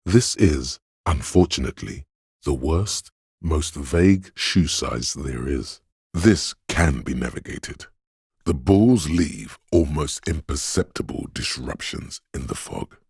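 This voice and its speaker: Profoundly deep, gravelly analytical inner voice. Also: male voice, expressive, darkish tone, dry recording, clean studio sound, exaggerated voice. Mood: measured, analytical. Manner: deliberate, precise, portentous, theatrical. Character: analytical inner voice of a detective's mind, grandly precise. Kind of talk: dramatic video game voice acting, measured narration.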